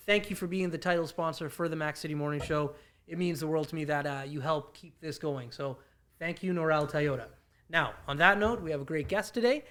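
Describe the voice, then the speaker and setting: A man's voice: on the high side, fairly bright tone. A man hosting a morning show in a casual, conversational interview style.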